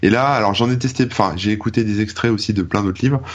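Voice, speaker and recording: A man's voice: on the low side, quick pace. Rambling, blunt Frenchman on a podcast. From northern France. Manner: rambling, many fillers and restarts, blunt opinions. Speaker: podcast co-host. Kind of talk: casual chat.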